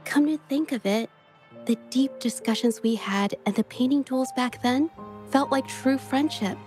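Soft sweet-natured young painter girl in a game, kinda high voice, sincere, bit of music behind. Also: unhurried. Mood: earnest, tender. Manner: earnest, gentle, sincere. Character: gentle, earnest young woman painter, kind and loyal. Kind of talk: video game story dialogue.

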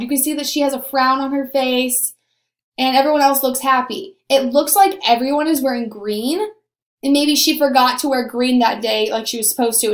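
A young woman teacher giving a recorded lesson to young children, explaining simply and deliberately, on a computer mic in a small room. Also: high-pitched. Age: young adult. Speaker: elementary school teacher. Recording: roomy, echoing home recording.

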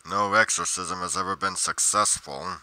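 A man's voice: low voice, moderate pace, sharp tone, no echo. A man playing a pedantic, musing paranormal investigator narrating his journal theatrically in a game roleplay, pompous and dramatically emphatic. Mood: grave, dramatic.

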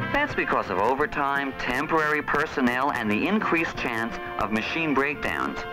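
An actor playing an earnest young small-business owner, explaining things emphatically in a 1970s educational film. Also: male voice, mid-range voice, poor audio. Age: young adult. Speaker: narrator and lead of an educational film. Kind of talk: scripted narration, 1970s educational film acting. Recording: music bed, aged 1970s film soundtrack.

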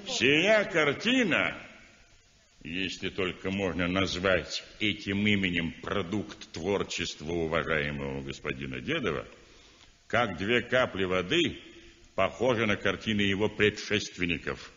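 Scornful preachy critic, talks slow, old muffled radio play. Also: male voice, sits low, lively intonation, pushed and bright, exaggerated voice. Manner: sardonic, declamatory, mocking. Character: stern, outspoken male art critic. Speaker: art critic. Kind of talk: 1960s radio drama acting. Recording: dull old radio recording in a roomy hall.

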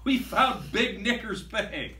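Man putting on a loud, excitable pirate captain. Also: high-pitched, slow pace, distant mic, pitched above the performer's own voice, full character voice. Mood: rousing. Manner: loud, exclamatory, commanding. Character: excitable, bossy male pirate captain from a children's storybook. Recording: echoey classroom from afar, faint noise.

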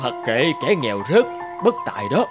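A man's voice: medium pitch, very animated, moderate pace, music in the background, lightly acted. A man voicing an arrogant village warrior in a cartoon fable, mocking, boastful and scornful. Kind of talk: animated fable voice acting.

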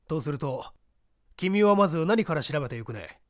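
A man reading a mystery aloud, playing a seasoned older investigator who explains the case in a measured, testing way. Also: fairly high pitch, expressive, no room sound, clean studio sound, natural voice. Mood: explaining.

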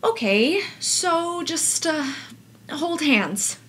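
A woman playing a flustered, protective aunt in an audio drama, speaking in an urgent, halting, reassuring way. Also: high-pitched, lively intonation, a bit bright, full character voice. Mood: hurried, flustered. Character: flustered, protective aunt hurriedly soothing her nephews.

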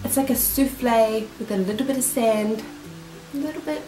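A woman's voice: on the high side, moderate pace, dark tone, soft background music. A young woman with a New Zealand accent, nasal from a cold, chatting enthusiastically through a skincare product review while seated in a small room. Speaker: beauty vlogger. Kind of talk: casual product review talk. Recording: roomy echo.

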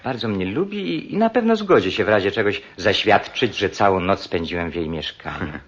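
A man acting in a radio drama as a coldly calculating, philandering doctor who plots murder in a deliberate, matter-of-fact way. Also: fairly low pitch, expressive, husky, muffled recording. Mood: smug, businesslike.

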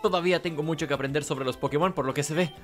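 A man's voice: on the high side, rapid-fire, fairly bright tone. A man with a Chilean accent giving casual playthrough commentary, repeating himself and muttering as he thinks. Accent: Chilean Spanish. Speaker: gaming video host. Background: video game music behind the voice.

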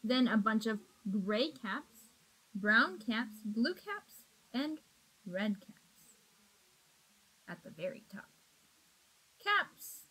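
A woman in her thirties reading a children's picture book aloud in an expressive, deliberate manner, recorded indoors at arm's length from a computer mic. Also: on the high side, breathy edge, rough recording. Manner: expressive, deliberate, asks the young listeners questions. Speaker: storybook reader. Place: small indoor room with block walls. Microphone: webcam or laptop at arm's length.

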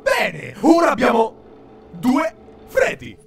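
Man doing a high, shouty animatronic mascot. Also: huge pitch swings, slow, creaky, full character voice. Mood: bewildered. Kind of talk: fan dub cartoon acting. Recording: loud music over the voice.

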